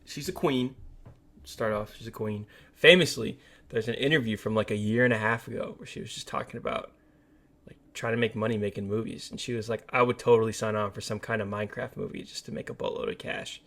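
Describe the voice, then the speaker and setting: A man's voice: lowish voice, brisk, gravelly, fairly bright tone. Blunt, slangy man chatting casually. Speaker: podcast co-host. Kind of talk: casual film discussion chat.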